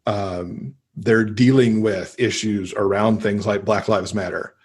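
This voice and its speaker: A man with an American accent and a fairly low, slightly husky voice talks as a podcast guest. He chats casually and enthusiastically, like a keen reader sharing favorites. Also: steady tone, takes it slowly. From United States. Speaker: podcast guest, book lover. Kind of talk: casual interview chat, recommending books.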